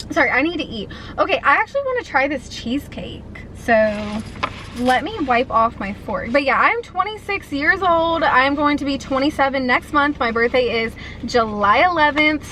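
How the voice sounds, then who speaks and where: A woman's voice: sits high, sharp tone, slight background noise, poor audio. A woman in her twenties chatting casually and eagerly while eating on camera, answering viewer questions. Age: 20s. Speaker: food vlogger. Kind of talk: casual mukbang chat.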